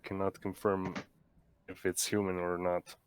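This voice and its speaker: A man with a deep voice plays in a tabletop game. He slips into terse military talk as he plays his character. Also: completely flat delivery, measured pace, a crowd in the background.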